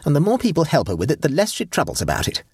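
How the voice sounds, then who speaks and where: A man's voice: on the high side, huge pitch swings, rapid-fire, a little mellow, breathy edge, dry recording, studio quality, pitched above the performer's own voice, full character voice. A man reading an audiobook and voicing a severe, pompous middle-aged aunt who lectures sternly.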